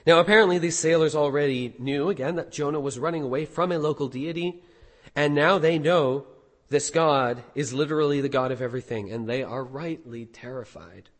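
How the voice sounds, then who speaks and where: A hoarse man preaching a sermon as pastor, animated, with rhetorical questions. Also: on the high side, a little fast, in a large hall.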